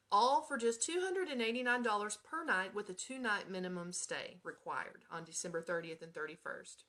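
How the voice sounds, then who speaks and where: A woman's voice: mid-range voice, fairly bright tone. Chatty American woman hosting radio. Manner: informal, chatty, breaks into giggles and asides. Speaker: radio show host. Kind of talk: casual live radio chat with read-out items.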